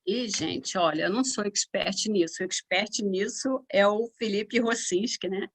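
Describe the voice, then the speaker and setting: Brief: Brazilian woman, mid-pitched, chatty conference speaker, video call. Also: a little slow. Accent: Brazilian Portuguese. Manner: lively, conversational, frequent tag questions. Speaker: guest speaker and cross-cultural consultant. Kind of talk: conference talk.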